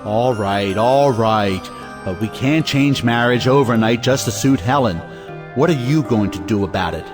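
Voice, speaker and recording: An actor playing a hot-headed, conventional brother in an audio play, blustering and exclamatory. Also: male voice, medium pitch, moderate pace, lightly acted. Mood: exasperated. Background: music.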